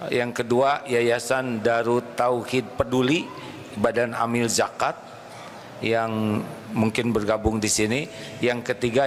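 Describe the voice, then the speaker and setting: A man giving a casual sermon to a congregation. He reads notes aloud, stumbles over words and chats in asides. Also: mid-range voice. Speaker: preacher. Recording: long hall reverb, faint noise.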